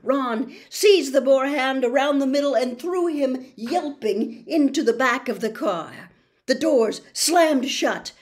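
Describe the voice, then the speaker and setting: An older woman reads a story aloud with expressive drama. Her voice sits fairly high, and she takes her time, shaping each phrase deliberately. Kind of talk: expressive audiobook-style narration. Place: small bedroom, indoors.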